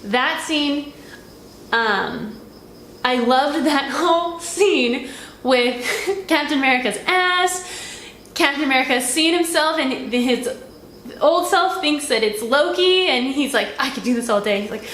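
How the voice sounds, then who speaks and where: A woman's voice: sits high, expressive, measured pace, a bit bright. A woman hosting a movie review in casual, unscripted conversation. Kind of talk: casual unscripted film discussion. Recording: roomy echo, light background noise.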